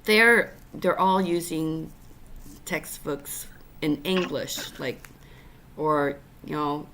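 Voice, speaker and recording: A woman from Taiwan hosting a casual conversation over a video call, talking in halting bursts full of fillers and restarts. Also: deep voice, bright, forceful tone, a little hiss or hum. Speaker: podcast host.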